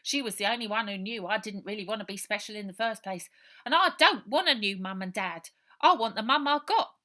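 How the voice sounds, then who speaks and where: A woman's voice: mid-range voice, brisk, pushed and bright, pitched above the performer's own voice, lightly acted. Woman acting a plaintive working-class English orphan boy. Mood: defiant. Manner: colloquial, earnest, ungrammatical speech. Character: frightened ten-year-old orphan boy, plaintive, streetwise.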